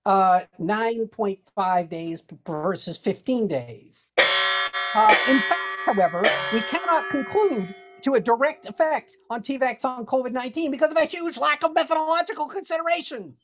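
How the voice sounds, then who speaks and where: A woman reads a research paper aloud over a video call. Her delivery is halting, with repeats and searching gaps, and the sound is slightly dull and lo-fi. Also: on the high side, over loud music. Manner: halting, loses place, repeats phrases. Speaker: dramatic reader at a comedy science session.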